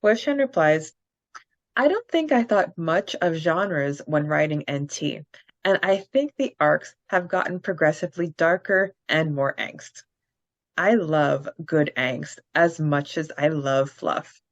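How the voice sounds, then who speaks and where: Woman, slightly low pitch, podcast co-host, enthusiastic, casual read-aloud and chat, dry, slightly muffled recording. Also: no echo. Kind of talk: casual fan podcast chat, reading listener questions and answers aloud.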